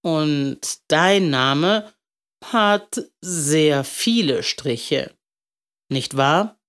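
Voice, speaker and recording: A woman voicing a kindly, playful old male candlemaker in a storybook, talking in a gentle, ceremonious way. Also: low-pitched, sing-song, exaggerated voice. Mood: puzzled, gentle. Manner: gentle, ceremonious, drawn-out questioning.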